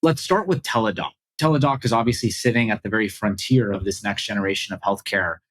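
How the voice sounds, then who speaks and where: A man with a husky voice, co-hosting a podcast with prepared, articulate remarks and questions. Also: on the low side, quick pace, a bit bright. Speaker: podcast co-host.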